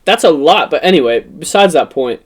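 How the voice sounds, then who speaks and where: A young American man telling stories in a rambling, animated, swearing way as a podcast co-host in casual chat. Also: on the high side, brisk, crowd noise. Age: young adult. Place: in person with co-hosts.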